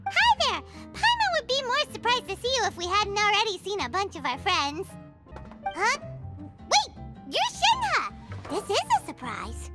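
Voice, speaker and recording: A woman voicing a small, chirpy childlike fairy companion in a video game, chatty and exclamatory with a squeaky voice. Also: huge pitch swings, a little slow, full character voice. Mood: surprised, chatty. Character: small excitable chirpy female fairy companion, childlike, speaks in third person. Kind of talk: video game cutscene acting. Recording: music behind the voice.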